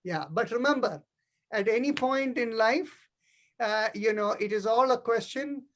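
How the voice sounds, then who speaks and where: A middle-aged man with an Indian accent, a native Tamil speaker, gives a spiritual lesson. He explains ideas step by step in a didactic way, often pausing to check that he is understood. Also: very high voice, fairly bright tone. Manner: didactic, checks understanding with short tag questions. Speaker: yoga philosophy teacher.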